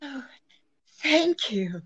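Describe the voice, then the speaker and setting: Actress as slow, high-voiced anxious radio damsel. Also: female voice, sing-song, a bit bright, full character voice. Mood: grateful, nervous. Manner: hesitant, halting, breathlessly earnest. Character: Miss Wonderly, anxious, pleading, genteel female client. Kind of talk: old-time radio drama acting. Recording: dull lo-fi radio-play recording.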